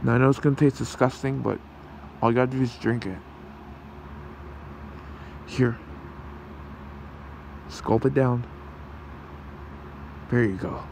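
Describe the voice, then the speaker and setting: A man voicing a gentle, protective fox creature in an ASMR roleplay, with a soothing, halting, gravelly delivery. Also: medium pitch, a little hiss or hum. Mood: caring. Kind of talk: ASMR roleplay, soft comforting talk.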